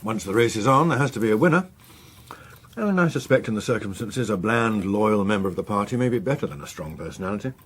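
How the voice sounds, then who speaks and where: Suave old-hand politician, radio drama, drily understated, smooth talker who never gets rattled. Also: male voice, on the low side, expressive. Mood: dry, calculating. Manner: urbane, measured, drily understated. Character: suave, unflappable veteran politician and chief whip.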